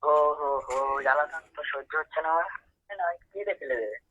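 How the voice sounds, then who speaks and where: High, raspy young man on a phone line. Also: a little fast, bright, forceful tone, dry recording. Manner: exasperated, protesting. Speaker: prank call recipient. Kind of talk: casual phone chat.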